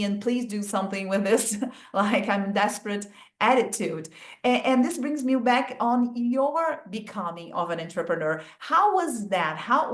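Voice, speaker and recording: A woman hosting an interview, sharing thoughts reflectively and asking questions with hesitant restarts. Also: medium pitch. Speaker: interview host. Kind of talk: conversational interview. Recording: echoey room.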